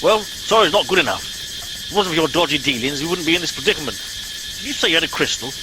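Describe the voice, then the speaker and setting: An actor playing a pompous, blustering middle-aged English starship captain in a comic radio play, giving clipped orders. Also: male voice, a little high, animated, fast talker, noisy background, natural voice. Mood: indignant. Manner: blustering, officious, clipped commands.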